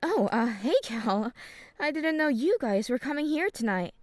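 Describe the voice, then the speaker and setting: A woman's voice: fairly high pitch, lively intonation, moderate pace, natural voice. A woman playing a nice, sociable teenage cheerleader in an audio drama. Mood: friendly.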